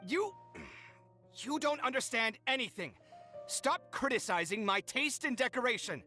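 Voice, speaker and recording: Young male housemate for a game: bright, highish, theatrical, fussy, swinging expressively in pitch. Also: lively intonation, a little slow, heavily acted. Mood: exasperated. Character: dramatic, emotional young man, fussy exasperated housemate. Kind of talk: animated video game voice acting. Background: music.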